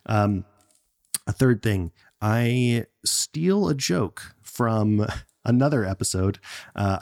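A man's voice: fairly low pitch, dark tone. A man hosting a comedy podcast, rambling and self-mocking, laughing often between jokes. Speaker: podcast host. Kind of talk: casual comedy podcast chat.

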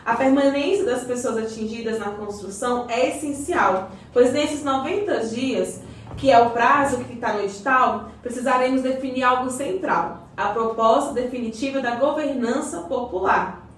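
A woman's voice: fairly high pitch, moderate pace, close to an everyday voice. A woman playing an encouraging, well-informed community guide in a scripted radio soap, explaining things didactically. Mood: explanatory. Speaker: character explaining community projects. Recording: very echoey, distant mic, faint noise.

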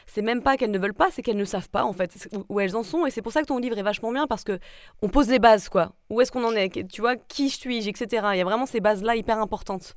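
Woman, interview host, rapid speech, agreeable, encouraging, casual conversational style, close dry sound. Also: fairly high pitch, no echo.

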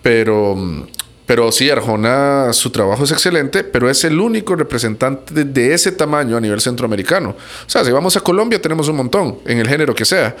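A man's voice: medium pitch, expressive, fairly quick. A man from Costa Rica with a Costa Rican accent, a musician giving casual, conversational answers in an interview.

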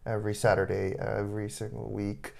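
A man in his twenties from Canada, whose first language is Japanese, speaking as an interview guest in a relaxed way with frequent restarts. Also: fairly low pitch, brisk, creaky. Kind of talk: casual interview conversation.

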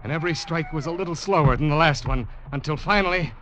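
A man's voice: on the high side, heavy background noise, lightly acted. An actor playing a guilt-ridden young seaman who narrates his ordeal in tense, dramatic old-time radio style. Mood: frantic, excited. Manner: tense, dramatic, deliberate storytelling. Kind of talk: 1940s-50s radio drama acting, suspense narration. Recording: muffled old radio broadcast, loud music behind.

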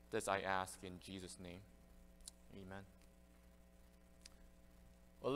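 A man's voice: lowish voice, fairly bright tone, slight background noise. Man preaching in a reverberant church. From Canada. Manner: earnest, conversational preaching with frequent restarts. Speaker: pastor. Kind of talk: expository sermon.

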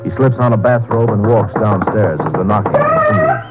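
An actor serving as a grave, matter-of-fact narrator of a scripted 1950s crime docudrama on radio. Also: male voice, lowish voice, huge pitch swings, moderate pace. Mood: grave, factual. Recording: dull old radio recording. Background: dramatic music.

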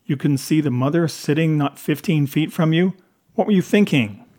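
A man's voice: mid-range voice, moderate pace, a little mellow, lightly acted. A man voicing a defiant, sardonic police detective with a clipped, confrontational manner in an audiobook. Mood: disgusted anger. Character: defiant, self-controlled male police detective, sardonic with superiors.

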